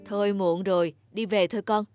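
A woman's voice: mid-range voice, steady tone, a little fast, lightly acted. A woman playing a sensible mother in a cartoon, chiding in a firm, matter-of-fact way. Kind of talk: cartoon dubbing. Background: loud music.

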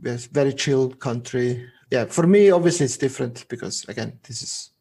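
A man's voice: mid-range voice, husky. A Portuguese man with a Swiss German accent, a guest on a podcast, talking casually and restarting his phrases often. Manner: halting, restarts phrases, relaxed.

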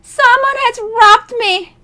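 A woman voicing a frantic, grieving male miser in a storybook fable, wailing in shrill outbursts. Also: slow pace, bright, forceful tone, full character voice. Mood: grief-stricken. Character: miser, frantic grieving man clinging to his gold.

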